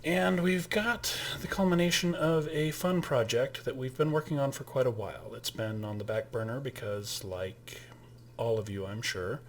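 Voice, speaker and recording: A man's voice: mid-range voice, slight background noise. A man hosting his own channel, giving a casual spoken overview of a tabletop game kit, with frequent fillers and restarts. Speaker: channel host and game master.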